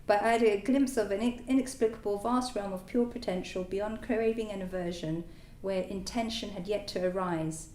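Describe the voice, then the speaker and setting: A woman whose first language is French gives a halting personal talk as a new Buddhist order member, full of fillers and restarts. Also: mid-range voice, a little mellow. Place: meditation centre hall. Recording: echoey hall, distant mic, faint noise.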